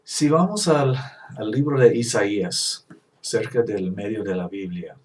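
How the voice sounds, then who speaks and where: A man whose first language is English preaching a Bible lesson, halting and restarting at times, reading scripture slowly. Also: fairly low pitch, distant mic. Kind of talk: Bible teaching.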